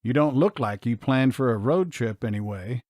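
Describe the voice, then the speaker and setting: A man's voice: mid-range voice, expressive, normal speed, a little mellow, no room sound, clean studio sound, natural voice. A man reading as a playful small-town charmer. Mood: teasing. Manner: teasing, easygoing.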